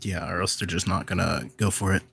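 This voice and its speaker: Low gravelly man, casual panel talk. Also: steady tone, fairly quick, a bit bright, a little hiss or hum. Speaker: podcast guest, voice actor and video maker.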